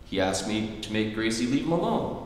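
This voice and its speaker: An actor playing a patient, deadpan comedian husband in a staged 1940s radio comedy. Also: male voice, fairly low pitch, brisk. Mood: wry. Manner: dry, wry deadpan delivery. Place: theatre stage. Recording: echoey theatre, faint hiss.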